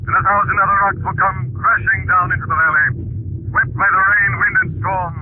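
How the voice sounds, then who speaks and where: An actor playing a menacing, invisible avenger, declaiming portentously like a voice of doom in a 1940s radio drama. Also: male voice, sits high, fairly even intonation, brisk, very noisy, close to an everyday voice. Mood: thundering. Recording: tinny old radio recording.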